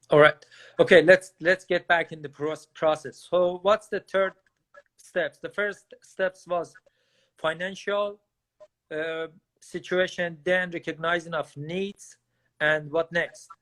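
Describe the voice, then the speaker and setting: A male interview host whose first language is Farsi, asking questions in slightly broken English. His voice sits a little high, and he speaks slowly and deliberately. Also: fairly even intonation, a bit bright. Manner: non-native grammar, guiding questions.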